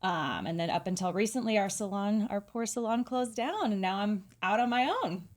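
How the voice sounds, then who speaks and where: A middle-aged woman from California, a hairdresser, telling her story in a relaxed, chatty way with fillers and small laughs. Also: mid-range voice, normal speed. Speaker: hairdresser guest. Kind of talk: casual interview storytelling.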